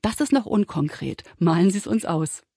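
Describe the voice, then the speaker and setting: A radio host leads an interview with calm, measured questions. The voice has a gravelly, creaky texture and sits at a middle pitch. The sound is dry and close. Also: no room sound. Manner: composed questioning. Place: radio studio.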